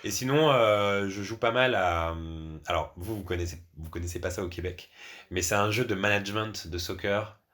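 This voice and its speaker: Man, accent from France, fairly low voice, podcast guest, conversational, anecdotal, casual. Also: normal speed, a bit bright. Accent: French from France. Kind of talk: casual chat, personal anecdotes.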